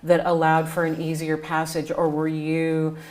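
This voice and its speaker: A woman with a fairly low voice interviews a guest. Her questions are casual and rambling, and she rephrases them several times before she finishes. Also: completely flat delivery, measured pace, a little mellow.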